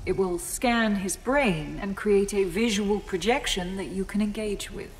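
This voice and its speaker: A woman's voice: medium pitch, measured pace, lightly acted. Echoey, composed actress as female scientist. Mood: cool, clinical. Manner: formal, unflustered, explanatory. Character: composed, clinical female scientist, coolly detached. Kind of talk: video game cutscene acting. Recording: echoey hall, faint ambient noise.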